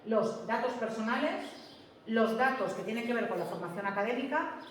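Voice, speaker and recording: A woman's voice: fairly high pitch, expressive, moderate pace, a little hiss or hum. Lady teacher explaining stuff to her class, keeps asking if they get it, sounds far off in a big echoey room. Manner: explanatory, checks with students often. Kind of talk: classroom lecture.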